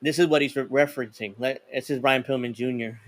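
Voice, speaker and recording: A man's voice: medium pitch, fairly even intonation, a little fast. Male co-host, casual, rambling, chuckles a lot. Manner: rambling, laughs often, retells stories. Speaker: podcast co-host. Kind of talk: casual chat about wrestling.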